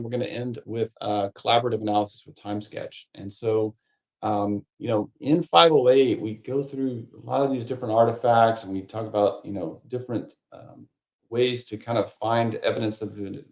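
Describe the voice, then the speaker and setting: A man, the course author, walking through a technical course in a relaxed, rambling way with lots of fillers and restarts, his voice a little raspy. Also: medium pitch, highs cut off. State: slightly raspy voice, needs a cough drop. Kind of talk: conversational technical course walkthrough.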